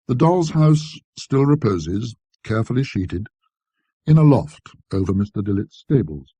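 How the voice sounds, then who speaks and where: A man with a low, dark voice reads a ghost story as narrator. His delivery is scholarly and measured, with a husky grain to the tone, recorded close and dry. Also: no echo. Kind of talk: scripted ghost story narration in a classic British manner.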